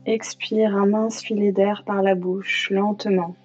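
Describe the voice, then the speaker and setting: Woman, yoga nidra instructor, very unhurried, calm and soothing, long pauses, slightly dull recording, music behind. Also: mid-range voice. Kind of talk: guided meditation.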